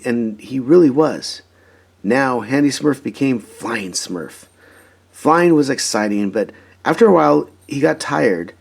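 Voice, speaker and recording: A man reading a children's storybook aloud in an expressive, emphatic way. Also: mid-range voice, gravelly, slightly rough. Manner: animated, emphatic, dramatizing story moments. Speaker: storybook reader.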